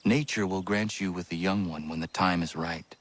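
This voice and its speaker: An actor playing an earnest, stern young man in a video game, reserved and speaking in formal, measured phrases. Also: deep voice, fairly even intonation, a bit bright. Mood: gentle concern. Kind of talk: video game character dialogue.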